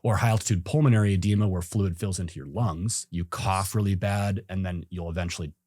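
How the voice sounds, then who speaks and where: A man's voice: deep voice, steady tone, a little fast, a little mellow, dry recording, studio quality. A man telling a story as a podcast guest, easygoing and rambling, with lots of fillers and restarts. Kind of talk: casual storytelling in an interview.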